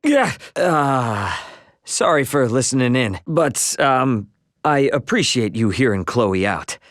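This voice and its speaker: Lively, slangy young adventurer. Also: male voice, medium pitch, slow, no echo, clean studio sound. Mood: awkward, hesitant. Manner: casual, slangy, expressive. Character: brash, friendly young male spacefaring adventurer, casual and warm-hearted. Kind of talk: video game voice acting.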